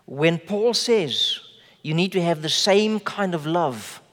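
A man whose first language is Afrikaans, preaching a sermon in measured, emphatic phrases with rhetorical questions. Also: fairly high pitch, animated, a bit bright, big-room sound. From South Africa. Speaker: pastor.

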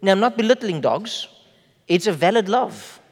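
A man's voice: high voice, sing-song, breathy edge. Afrikaans-speaking man preaching in a hall. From South Africa. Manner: measured preaching with slow emphatic phrases and rhetorical questions. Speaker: pastor. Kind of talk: sermon.

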